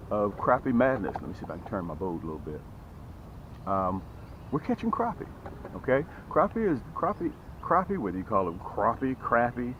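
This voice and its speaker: A middle-aged man hosting a fishing vlog from a small boat on a lake, talking energetically to camera and often adding "okay?" and "boom". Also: muffled recording, slight background noise. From Atlanta, Georgia area. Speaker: fishing channel host. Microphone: camera close on a boat.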